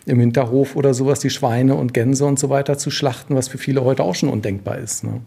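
A man's voice: medium pitch, fairly even intonation, a little fast, vocal fry, mellow, husky. A man reporting on location in a museum, explaining what he sees in hesitant, explanatory phrases. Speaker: reporter and author.

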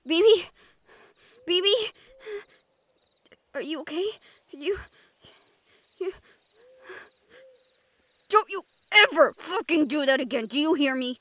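A woman's voice: high-pitched, takes it slowly, long pauses, a bit bright, dry recording. A woman playing a brash, cursing young woman. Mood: shaken. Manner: swears freely, brash and eager. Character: brash, foul-mouthed young woman, curious and protective. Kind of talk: audio roleplay acting.

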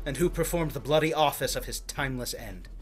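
An actor playing a controlled, commanding nobleman, measured and formal in a Shakespearean reading. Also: medium pitch, expressive, faint music behind, natural voice. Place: indoors, remote video call.

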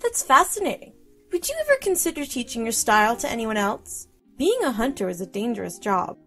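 An actress playing a poised, politely curious young huntress student who speaks formally and precisely in an audio drama. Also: female voice, on the high side, sing-song, fairly quick, fairly bright tone, faint music behind.

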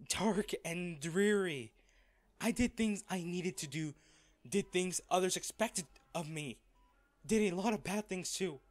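A man acts out a rich, devoted man in anguish, his voice fairly high for a male. He speaks slowly and earnestly, with heavy pauses. It is an intimate audio drama performance full of feeling. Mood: tender. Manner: halting, emotional, earnest delivery with pauses. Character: wealthy man, devoted and distraught, confessing his love. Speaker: voice actor in audio roleplay.

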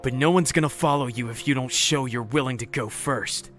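A man's voice: medium pitch, a little fast, fairly bright tone, soft background music, lightly acted. A man playing a jaded, grieving survivor in a zombie fan drama, talking in a curt, weary, sardonic manner. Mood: blunt, frustrated. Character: jaded, blunt, grieving male survivor, bitter but loyal. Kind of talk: dramatic audio visual-novel fan drama.

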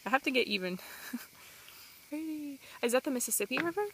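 A young woman filming a casual road trip vlog, teasing and excitable, recorded on a handheld phone outdoors and in a car. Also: high-pitched, a little fast, creaky, noisy background. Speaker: vlogger. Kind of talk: casual travel vlog chat.

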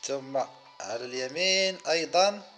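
Male children's game host, fairly high voice, short simple phrases, faint music underneath. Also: moderate pace, pushed and bright. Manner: counts aloud, speaks in short simple phrases. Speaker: host of children's gaming videos. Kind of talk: simple gameplay commentary for young children.

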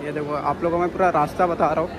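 A man's voice: on the high side, little pitch movement, very fast, a crowd in the background. A man vlogging casually to viewers while walking busy streets, chatty and addressing them as brother and guys. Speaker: moto vlogger. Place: busy city streets and a station outdoors. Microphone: action camera carried while walking. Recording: loud music over street noise.

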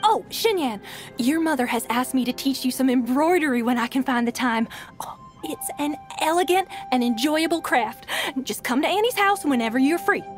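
Genteel village auntie, fussy, well-meaning, high voice, anime game, music underneath. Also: female voice, expressive, normal speed. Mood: sweetly insistent. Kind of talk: anime video game voice acting.

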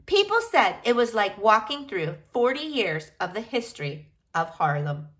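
A woman reading a picture book aloud in an expressive, deliberate storytelling style. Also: medium pitch. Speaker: reader of a children's picture book.

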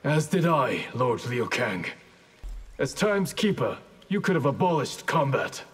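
A stern, solemn ninja clan leader in a fighting game. The voice is husky and mid-pitched, and every line is formal and honour-bound. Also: male voice, big pitch swings, measured pace, exaggerated voice. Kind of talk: video game fight intro dialogue.

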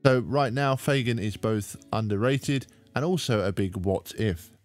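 A man hosting a sports video, giving a measured, explanatory scripted narration into a close microphone. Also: on the low side. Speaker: host of a bowling video channel. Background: background music.

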